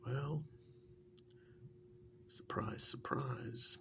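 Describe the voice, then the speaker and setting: A man playing a fondly reminiscing, teasing male partner and former captive in an ASMR role-play, in a breathy half-whisper with gravelly fry and a halting, conversational delivery. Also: medium pitch, steady tone, fairly bright tone, a little hiss or hum, heavily acted. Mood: wry, reminiscing. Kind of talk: soft-spoken ASMR role-play monologue.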